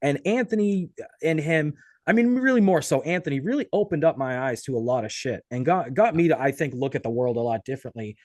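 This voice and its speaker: A man's voice: a little high, expressive, brisk, darkish tone, no room sound. Casual American man interviewing, dry sound. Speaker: podcast interviewer and host.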